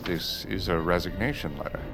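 An actor playing a middle-aged, pompous Secretary of State who is easily flustered, speaking formally in an audio drama. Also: male voice, deep voice, moderate pace, over music. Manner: formal, emphatic.

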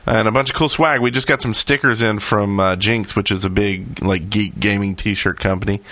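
Low-voiced man hosting a casual podcast. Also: steady tone, normal speed, a bit bright, dry recording. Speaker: podcast host. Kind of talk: casual gaming podcast chat.

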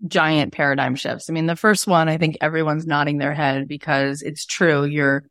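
A woman's voice: sits low, fairly even intonation, moderate pace, fairly bright tone, dry recording. Enthusiastic woman podcast host, dry sound. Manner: enthusiastic, guiding questions. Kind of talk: podcast interview conversation.

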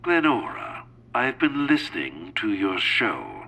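Pompous baron uncle, curt, grudging, animated pitch, forceful edge, audio comedy. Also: male voice, takes it slowly, creaky, slightly rough, heavily acted. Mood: disdainful. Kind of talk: audio fiction comedy acting.

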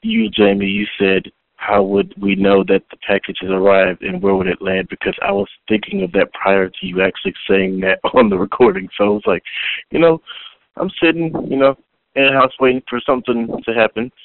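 A man's voice: fairly high pitch, big pitch swings, fairly quick, gravelly. A man from Texas leaving a rambling, laid-back voice message for a podcast. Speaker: listener sending a voice message. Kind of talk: casual voice message. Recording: tinny phone-quality recording.

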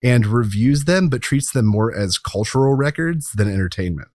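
A man's voice: medium pitch, expressive, normal speed, a little mellow, no room sound, studio quality. A man co-hosting a podcast, casually chatting and giving recommendations. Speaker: podcast co-host. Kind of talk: casual review and recommendation chat.

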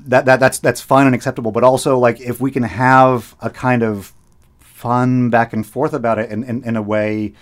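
Middle-aged guy rambling in an interview, lots of likes and you-knows, laughs a bit, normal pitch. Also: little pitch movement, normal speed. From United States. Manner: rambling, many restarts and fillers, laughs often. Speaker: radio host, interviewee. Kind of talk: casual conversational interview.

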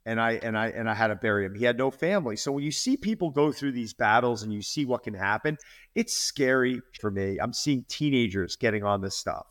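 An earnest man in his forties speaking as a fitness trainer on a podcast. His pitch sits in an ordinary middle range. He often repeats words as he builds a point. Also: fairly quick, fairly bright tone. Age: 40s. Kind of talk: podcast interview.

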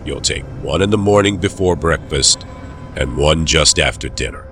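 Very deep, menacing doctor. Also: male voice, soft background music. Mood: stern, commanding. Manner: stern, measured, quietly menacing. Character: cold, controlling male doctor giving orders. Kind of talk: audio drama acting.

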